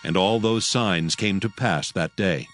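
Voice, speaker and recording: A man narrating dramatized scripture as a solemn, measured storyteller. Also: deep voice, a bit bright, faint music behind.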